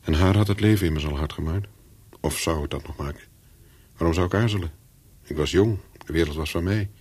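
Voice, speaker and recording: An actor playing a calculating, persuasive young spy in a radio play, with terse, controlled speech and a husky voice. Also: male voice, low-pitched, darkish tone. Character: calculating young male spy, persuasive, looking back as narrator. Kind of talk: radio play dialogue and first-person narration.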